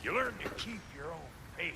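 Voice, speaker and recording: An actor playing a boisterous, cranky, proud dwarf blacksmith in a video game, with a gravelly voice and folksy, irreverent talk. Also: male voice, a little high, expressive, normal speed, heavy background noise. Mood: wistful, musing. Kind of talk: video game character acting.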